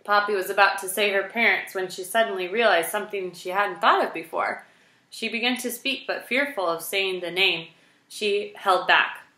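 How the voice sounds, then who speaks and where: A woman's voice: mid-range voice, moderate pace, pushed and bright, echoey room. A woman with an American accent reading a children's story aloud as a teacher would to a class. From United States. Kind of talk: children's read-aloud narration.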